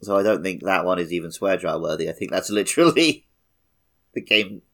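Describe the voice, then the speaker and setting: Low gravelly man chatting casually. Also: moderate pace. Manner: relaxed, laughs often, trails off mid-sentence. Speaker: podcast co-host. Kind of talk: casual chat about a video game.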